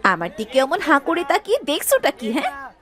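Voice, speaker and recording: A woman's voice: sits high, huge pitch swings, a little fast, a bit bright, a faint crowd, heavily acted. A woman voicing a demanding, greedy young village wife in a cartoon, whiny and insistent. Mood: bossy, greedy. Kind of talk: cartoon story voice acting.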